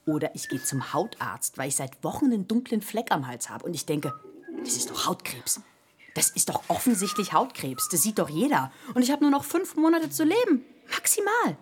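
A woman's voice: medium pitch, sing-song, normal speed, a little hiss or hum, natural voice. An actress playing an anxious hypochondriac woman in a radio play, confiding and dramatic. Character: anxious hypochondriac woman waiting in an emergency room. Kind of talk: German radio play acting.

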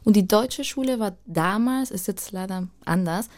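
A woman's voice: mid-range voice, normal speed. A woman in her thirties from Spain, a native Spanish speaker, talking reflectively and casually as an interview guest in loose, searching phrases. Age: 30s. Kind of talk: casual conversational interview, personal storytelling.

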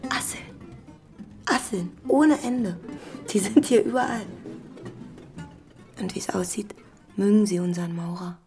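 A woman acting a commanding, conspiratorial squatter in a radio play, instructing deliberately and slipping into whispers. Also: medium pitch, dark tone, recorded from a distance, close to an everyday voice. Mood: secretive. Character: Ragnar, commanding, conspiratorial female squatter and midwife of houses. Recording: echoey room, music underneath.

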